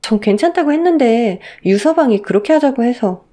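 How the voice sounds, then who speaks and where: A woman's voice: a little high, expressive, a little fast, warm tone, voice pushed up. A woman voicing a meek young wife, polite and wistful, in a radio story drama. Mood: meek, defensive.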